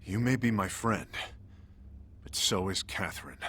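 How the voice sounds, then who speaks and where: An actor voicing a calm, serious male friend in a game cutscene. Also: deep voice, a little slow, a little hiss or hum. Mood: stern. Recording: with loud background music.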